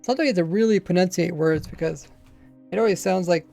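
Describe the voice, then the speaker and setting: A man's voice: high-pitched, fast, gravelly, a little mellow, soft background music. A man streaming a Minecraft session, chatting casually and rambling as he plays, with slightly mumbled, lazy pronunciation and a faint accent. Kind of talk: casual livestream chat while playing.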